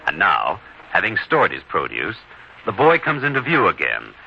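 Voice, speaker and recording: A low-voiced man announces and narrates with grand, sweeping intonation. His old-time radio delivery is solemn and deliberate, full of dramatic pauses. Music plays behind him on a dull recording. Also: wildly swooping pitch, creaky.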